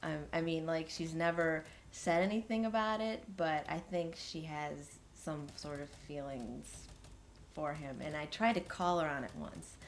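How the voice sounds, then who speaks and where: A woman's voice: low-pitched, measured pace. A woman playing an earnest witness in an audio drama, speaking hesitantly and conversationally as she recounts events to an investigator. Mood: uneasy, candid. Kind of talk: audio drama interview testimony. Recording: faint background noise.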